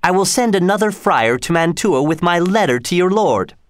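A man reading aloud, voicing an old friar who calmly lays out a plan. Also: sits high, normal speed, no echo. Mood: instructing. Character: elderly male friar, calm and scheming.

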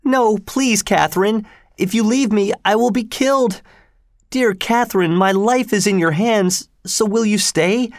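Audiobook role: sickly, fearful youth, pitched high and quavering, pleading and faltering, played by a male narrator. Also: a little slow, no room sound, studio quality. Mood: terrified pleading. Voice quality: shaky. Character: sickly, terrified, pleading young man. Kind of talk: audiobook character voice.

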